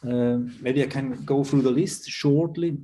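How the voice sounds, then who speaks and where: A man's voice: medium pitch, unhurried, warm tone. A man with a Swiss accent giving an online conference presentation over a video call, hesitant and full of fillers and restarts. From Switzerland. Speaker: university lecturer.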